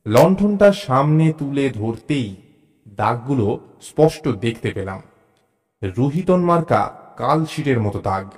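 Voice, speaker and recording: A man's voice: mid-range voice, very animated, measured pace, pauses often, a little mellow. A man narrating a suspense story in the first person, in a dramatised audio-story style. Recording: music behind the voice. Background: music.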